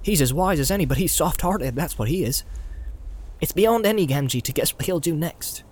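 A man's voice: on the high side, expressive, normal speed, darkish tone, slightly rough, pitched above the performer's own voice. A man voicing a loyal, plain-spoken male hobbit servant who grumbles his suspicions in a folksy way. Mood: suspicious.

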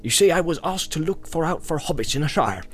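A man plays a busy, flustered innkeeper in a fantasy audiobook. He rambles apologetically and trips over his words, hesitating often. Music plays softly behind him. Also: on the high side, expressive, a little fast, pitched above the performer's own voice, lightly acted. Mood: troubled, apologetic.

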